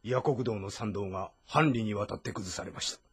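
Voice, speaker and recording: A man's voice: fairly low pitch, fairly quick, slightly rough. A man playing a dutiful scout in a historical game, giving a terse, formal report. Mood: urgent, formal. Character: dutiful male scout delivering an urgent report. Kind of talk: dramatized historical game acting.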